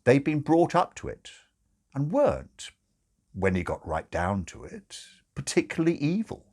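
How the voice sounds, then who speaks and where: A man's voice: medium pitch, huge pitch swings, unhurried, darkish tone. A man narrating a comic audiobook in a wry, theatrical storytelling manner.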